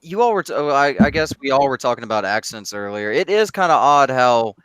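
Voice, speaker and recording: A man's voice: medium pitch, very animated, brisk, a bit bright, smooth, no echo, low-quality recording. Boastful Southern American man. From Tennessee, United States. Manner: drawling storyteller, boastful, swearing. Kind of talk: casual banter on a stream.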